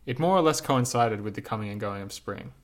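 Male narrator, author reading his own essay aloud, measured and lyrical, lowish voice. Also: lively intonation. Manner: measured, lyrical, unhurried delivery.

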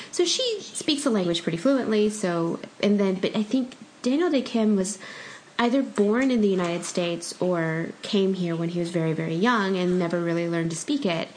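Woman, podcast co-host, casual chat, chatty, laughs often, mid pitch, faint background hum. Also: rough recording.

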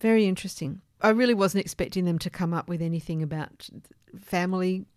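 A woman's voice: fairly low pitch, brisk, darkish tone, no room sound, studio quality. A woman hosting a conversational discussion of research, with an inquisitive, thoughtful manner. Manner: inquisitive, thoughtful, affirming listener. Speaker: podcast host and interviewer.

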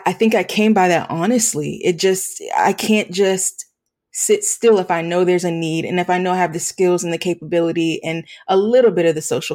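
A woman with a slightly low voice speaks as a guest in a reflective interview. She is earnest and halting, often pausing in the middle of a thought before carrying on. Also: darkish tone. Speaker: podcast guest, nonprofit founder working with students.

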